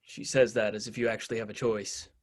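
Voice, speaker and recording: A woman's voice: very deep voice, level tone, quick pace. A woman playing a dry, sardonic sidekick who makes wry asides in a screenplay read.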